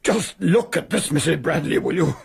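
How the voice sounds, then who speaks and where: A man's voice: on the high side, animated, moderate pace, vocal fry, slightly rough, heavily acted. An actor playing an irascible father of a 1920s household in a radio drama, blustering and peremptory in an upper-class English accent. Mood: indignant, baffled.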